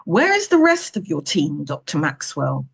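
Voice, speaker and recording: A woman voicing a stern, exacting male boss in an audiobook, measured, clipped and pointedly formal. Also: low voice, wildly swooping pitch, creaky, husky, exaggerated voice. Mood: sardonic, exasperated.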